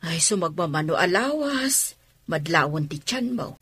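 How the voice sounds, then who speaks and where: A woman playing a chiding but caring older female relative in an Ilocano comedy radio drama, expressive, with an Ilocano accent. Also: lowish voice, measured pace, breathy edge, no echo, heavily acted. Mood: nagging.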